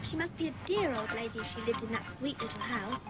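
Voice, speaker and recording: A woman voicing a keen, soft-hearted young British girl with exclamatory delivery in a children's audiobook drama. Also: a little high, animated, quick pace, over music, natural voice.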